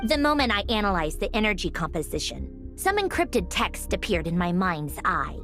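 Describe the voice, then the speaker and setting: A woman playing a sharp, blunt, analytical young woman in a fantasy video game. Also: medium pitch, normal speed, faint music behind. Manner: animated, blunt, sardonic.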